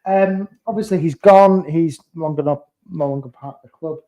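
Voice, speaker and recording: A man hosts a casual football podcast. He keeps up a jokey, teasing banter with his co-host in a slightly higher voice. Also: moderate pace, dark tone.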